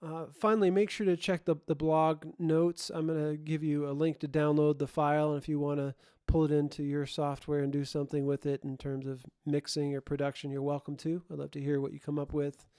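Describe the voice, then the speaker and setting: A man's voice: fairly high pitch, level tone, brisk, hardly any pauses, warm tone, smooth, no room sound, studio quality. A man presenting an informal tutorial, thinking aloud and often correcting himself as he explains. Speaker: host, audio production tutorial presenter.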